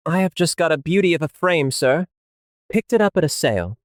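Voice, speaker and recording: A genial little Victorian tradesman, acted out by a male audiobook narrator, eager to please and full of deference. His voice sits on the high side for a man and is recorded very dry. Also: expressive, brisk, no echo, lightly acted. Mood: eager, deferential. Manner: obliging, deferential, chuckling tradesman talk.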